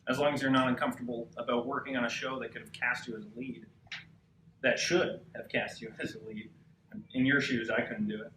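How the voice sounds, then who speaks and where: A man playing a slick, arrogant forty-ish boyfriend in a live table read, smooth and condescending. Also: medium pitch, steady tone, brisk, bright, forceful tone, lightly acted. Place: cramped bookstore event, live. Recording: echoey live bookshop, clearly noisy.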